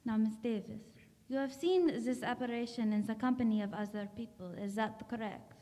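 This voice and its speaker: A woman's voice: fairly high pitch, warm tone, lightly acted. A woman playing a grave, authoritative doctor who investigates the supernatural, in a radio drama. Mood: grave, commanding. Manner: authoritative, deliberate, brusque. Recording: long hall-like reverb.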